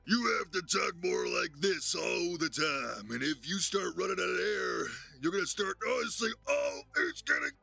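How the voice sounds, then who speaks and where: A man voicing a brash biker Santa Claus for a game, with a gravelly, strained belly voice and the swagger of an announcer working a crowd. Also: sits high, expressive, moderate pace, few pauses, sharp tone, pitched above the performer's own voice, full character voice. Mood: straining. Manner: slick, in-your-face salesman swagger. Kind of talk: shouted announcer-style game character voice.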